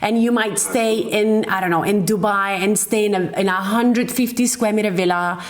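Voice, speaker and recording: A woman's voice: mid-range voice, normal speed. Woman hosting an interview. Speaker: interview host. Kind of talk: conversational interview.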